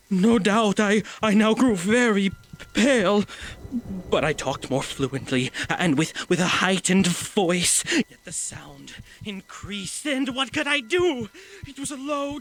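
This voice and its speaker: An actor playing a mad, guilt-ridden murderer giving a theatrical confession in a gothic horror audio drama, with deliberate, intense delivery. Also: male voice, extremely high pitch, full character voice, rough recording. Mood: growing unease. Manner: theatrical, deliberate, swinging between hushed confiding and shrieking.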